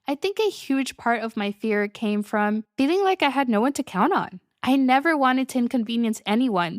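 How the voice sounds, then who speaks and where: A woman's voice: fairly high pitch, no room sound. Chatty young woman podcaster, dry close mic. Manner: casual, upbeat, laughs often between lines. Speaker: podcast host and coach. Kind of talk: solo podcast monologue.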